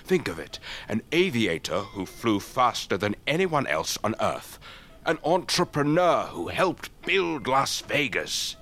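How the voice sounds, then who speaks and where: An actor playing an elderly English retired air force officer in a radio drama, avuncular and chatty, with old-fashioned clipped politeness. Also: male voice, mid-range voice, sing-song, a little slow, fairly bright tone, heavily acted. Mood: wistful, enthusiastic. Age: older.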